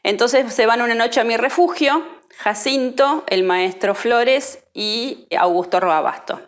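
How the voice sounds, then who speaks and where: A woman's voice: on the high side, measured pace. A woman telling anecdotes in an interview, conversationally, voicing the quoted dialogue as she goes. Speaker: interviewee telling family memories.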